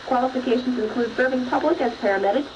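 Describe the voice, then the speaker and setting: American woman, higher voice, plain read-aloud, occasional stumbles, dull radio speaker sound. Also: fast, over a noisy crowd. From northeast Ohio. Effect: played through a radio speaker. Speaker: newspaper reader on a radio reading service. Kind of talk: reading aloud with informal asides. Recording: dull, distant radio speaker, faint noise.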